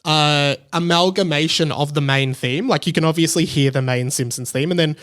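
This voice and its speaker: A man's voice: fairly high pitch, normal speed, a bit bright. A man hosting a podcast solo, talking casually about TV in a rambling, conversational way. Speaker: podcast host.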